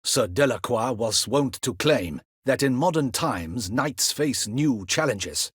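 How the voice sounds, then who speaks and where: Stern fantasy game guard captain, husky voice, formal courtly diction, animated pitch, dry close recording. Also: male voice, slow, no room sound. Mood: grave, businesslike. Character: stern, dutiful male captain of a ducal guard. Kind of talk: video game fantasy voice acting.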